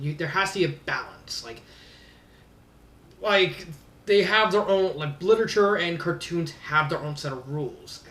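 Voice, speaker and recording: A man's voice: on the high side, fairly bright tone. A man giving a casual, rambling spoken review in an exasperated, emphatic way. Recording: roomy echo, distant from mic.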